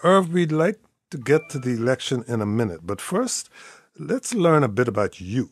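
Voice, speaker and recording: An older man from Guyana hosting a radio call-in show, speaking in a measured, friendly broadcaster manner. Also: medium pitch, very animated, breathy edge.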